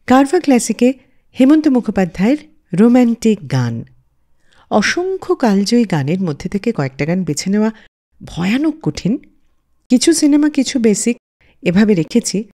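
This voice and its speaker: A woman radio host narrating from a script in an expressive storyteller's manner, close on a studio microphone. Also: mid-range voice. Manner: expressive storyteller, lively rise and fall. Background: faint background music.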